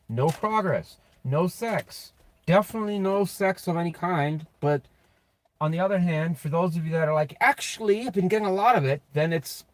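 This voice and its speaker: A man giving a casual, off-the-cuff tarot reading in a blunt, sweary, slangy way, with a slightly raspy voice. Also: fairly high pitch, no room sound, poor audio. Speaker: tarot reader and channel host.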